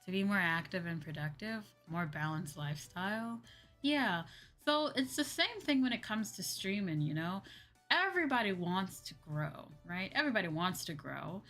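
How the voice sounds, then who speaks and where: A woman's voice: medium pitch, sing-song, normal speed, a bit bright. A woman hosting a livestream, chatting casually in a hesitant way and often repeating her phrases. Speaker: livestream host. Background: background music.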